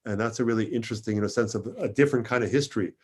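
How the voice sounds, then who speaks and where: A man moderating a literary interview, tentative and halting as he frames his questions, repeating words. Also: fairly low pitch, breathy edge. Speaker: moderator of a book event.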